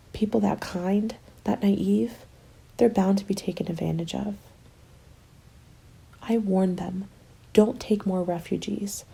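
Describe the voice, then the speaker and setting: A woman playing a cynical, scheming apocalypse survivor in an ASMR roleplay, breathy and often whispering, taunting and self-justifying. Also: mid-range voice, warm tone, full character voice, rough recording. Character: cynical, defiant woman survivor hoarding food, selfishly scheming.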